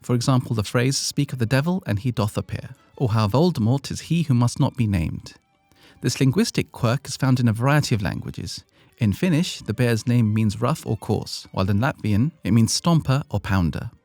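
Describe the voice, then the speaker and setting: British male podcast host, scripted and playful, with a gravelly edge at a mid pitch. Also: expressive, normal speed, a little mellow, dry recording. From Britain. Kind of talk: scripted educational narration.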